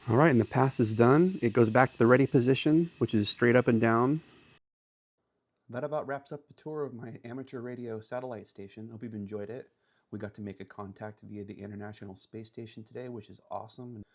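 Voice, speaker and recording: A man's voice: medium pitch, fairly quick, a little mellow. A man giving a casual, step-by-step explanatory tour as an amateur radio operator, speaking in a plain, matter-of-fact way.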